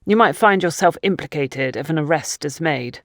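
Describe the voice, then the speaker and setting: Impatient interrogator acted by a woman narrator, brusque and quietly threatening, lowish pitch, audio drama. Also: animated, normal speed, dry recording, studio quality.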